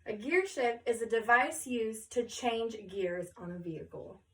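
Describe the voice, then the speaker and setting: A woman reading a children's story aloud with expressive, deliberate phrasing, pausing to question her listener. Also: a little high, recorded from a distance.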